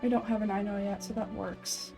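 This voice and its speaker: A woman's voice: medium pitch, level tone, a little fast, music in the background. A woman game streamer chatting casually and playfully while she plays, self-deprecating in manner. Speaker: game streamer and amateur voice actor. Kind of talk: casual gameplay chat.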